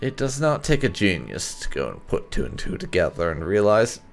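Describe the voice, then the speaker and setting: A man's voice: low voice, huge pitch swings, moderate pace, creaky. A man hosting a gaming channel, giving casual let's play commentary.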